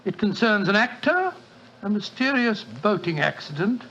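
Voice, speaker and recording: A bright, hearty old doctor. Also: male voice, sits high, big pitch swings, normal speed, husky, exaggerated voice. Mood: genial. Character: genial older male doctor and storyteller. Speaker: doctor narrator in a radio play. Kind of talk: 1940s radio acting. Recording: muffled old radio recording.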